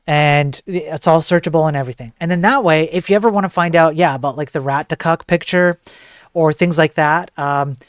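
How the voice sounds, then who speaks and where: A man with a soft voice chatting casually to viewers as a livestream host, in an informal, joking, rambling way. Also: on the high side, fairly quick, clear, resonant tone, dry recording, studio quality.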